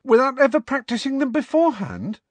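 An incredulous teenage girl in an audiobook, voiced by a man, set high with very animated pitch. She is bold and insistent, pressing her questions with indignation. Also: fairly quick, no echo, heavily acted. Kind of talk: audiobook character voice.

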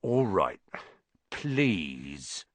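An irritable storybook caretaker, voiced by a man, impatient and curt. He makes his demands in a dry, close recording with lively swings of pitch. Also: extremely slow, no echo, exaggerated voice. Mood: grudging. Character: irritable, impatient male school caretaker villain.

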